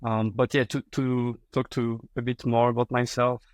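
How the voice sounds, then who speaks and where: A man's voice: medium pitch, little pitch movement, moderate pace, no room sound. A man in his thirties with a French accent, a guest on a podcast interview, talking with frequent fillers and restarts. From France. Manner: hesitant, many fillers and restarts.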